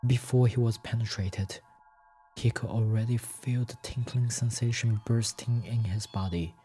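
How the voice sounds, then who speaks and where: A man's voice: mid-range voice, steady tone, a little slow, warm tone, soft background music. A man reading scripted fiction as a story narrator.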